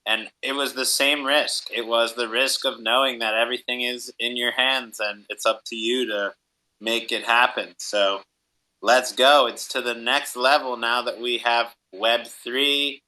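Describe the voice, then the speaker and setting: A man's voice: medium pitch, fairly even intonation, unhurried, pushed and bright, low-quality recording. A man hosting a podcast interview in an enthusiastic, encouraging, hype-man manner. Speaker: podcast host.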